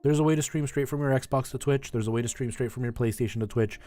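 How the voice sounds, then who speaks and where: A man hosts a live game stream in an informal, conversational way. His voice sits at an average male pitch, and he rambles through tips and asides for his viewers. Music plays behind him. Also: fast, warm tone. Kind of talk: casual live stream chat while playing a retro game, giving tips to chat.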